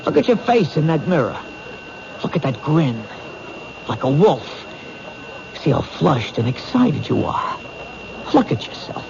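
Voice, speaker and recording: Bold radio detective, muffled noisy recording. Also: male voice, on the high side, sing-song, unhurried, vocal fry, long pauses, full character voice. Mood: goading. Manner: challenging, insistent. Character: bold, sharp-witted male police detective, goading. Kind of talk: radio drama acting.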